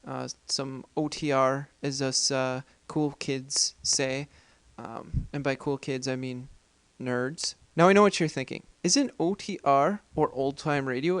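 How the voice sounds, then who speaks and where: A man with a fairly high, clean voice hosts a casual podcast. He introduces the episode informally, with a joking, self-deprecating manner. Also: clear, resonant tone. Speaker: podcast host.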